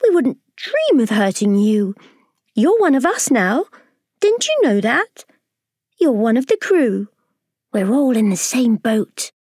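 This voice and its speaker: A woman voicing a kindly, excitable female ladybird, gushing and high-pitched. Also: normal speed, dry recording, clean studio sound.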